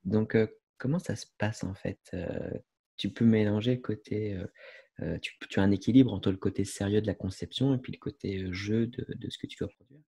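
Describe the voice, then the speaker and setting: Low dark-voiced guy interviewing someone, kinda husky, rambles his questions. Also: steady tone, fairly quick. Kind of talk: conversational interview.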